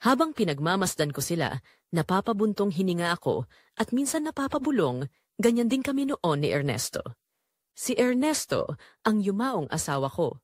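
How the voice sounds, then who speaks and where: A woman's voice: medium pitch, no echo, clean studio sound. A woman playing a lonely middle-aged widowed mother who tells her secretly yearning story in an audio drama. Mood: wistful. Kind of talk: first-person dramatic audio story narration.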